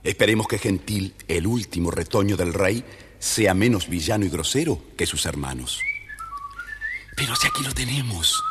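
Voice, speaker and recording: A man with an Argentine accent narrating a scripted children's story, expressive and theatrical. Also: low-pitched, moderate pace, in a large hall, noisy background.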